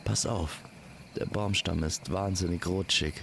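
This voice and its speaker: A man telling a scripted fantasy story as an immersive campfire narrator, speaking gently and poetically to the listener. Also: sits low, steady tone, vocal fry, slight background noise. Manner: slow, gentle, poetic delivery. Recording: constant night insect and nature ambience. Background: crickets and forest night sounds.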